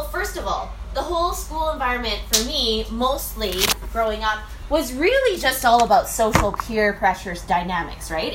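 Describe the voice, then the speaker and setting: High bright-voiced woman, rambling freely. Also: roomy sound, slight background noise, poor audio. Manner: rambling, many fillers and "right?" tags. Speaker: business owner. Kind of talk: casual reflective monologue.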